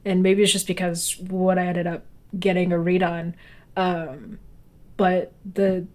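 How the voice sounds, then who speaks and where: A woman co-hosting a podcast in casual conversation, with halting, informal phrasing that trails off. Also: fairly low pitch, level tone, a little mellow. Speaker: podcast co-host.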